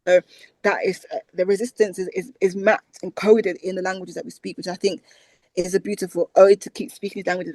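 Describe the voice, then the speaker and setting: Animated British woman guest. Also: lowish voice, gravelly, no echo, low-quality recording. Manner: animated, repeats words for emphasis. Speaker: guest, language researcher. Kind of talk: conversational interview.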